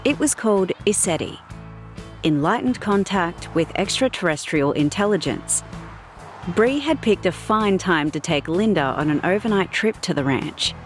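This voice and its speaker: A woman narrating a scripted audiobook story in an expressive storytelling manner. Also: on the low side.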